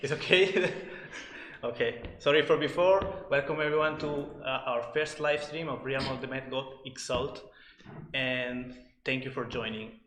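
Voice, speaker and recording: A man's voice: medium pitch, fairly bright tone, a little hiss or hum. Community manager guy, stop-start delivery, roomy echo. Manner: halting, searching for words. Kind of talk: live stream introduction. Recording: echoey room with long reverb.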